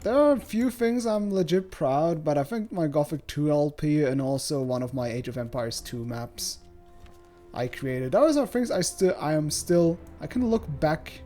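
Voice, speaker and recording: A man from Switzerland giving casual, rambling, chatty livestream commentary while playing a game, with some joking swearing. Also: a little high. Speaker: game streamer. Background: soft game music.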